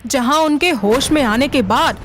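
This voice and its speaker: A woman reading a scripted story narration for an animated moral tale. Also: high voice, unhurried. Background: background music.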